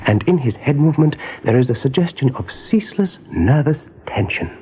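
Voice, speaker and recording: A man giving scripted narration in a radio drama, measured and dramatic. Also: mid-range voice, lively intonation, mellow, lightly acted. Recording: noisy old radio play recording.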